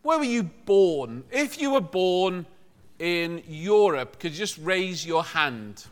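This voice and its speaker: A man's voice: sits high, very animated, slow pace, clean tone. A man from Liverpool preaches to a congregation in a conversational, joking way, often putting questions to his listeners. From Liverpool, England.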